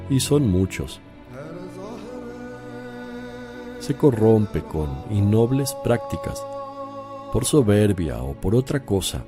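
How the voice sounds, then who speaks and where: A man playing Jesus in a religious radio drama, a calm, solemn teacher who speaks in a measured, firm way. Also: on the low side. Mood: earnest, solemn.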